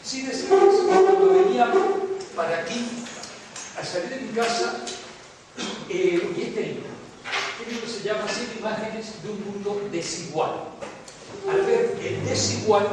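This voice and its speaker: Older canarian guy giving a chatty econ lecture, sounds far off in a big echoey hall. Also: high-pitched. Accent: Canarian Spanish with Latin American mixing. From Canary Islands. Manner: reflective, discursive, frequent fillers and asides. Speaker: economics professor giving a lecture. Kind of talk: informal university lecture. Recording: distant echoey hall with noise.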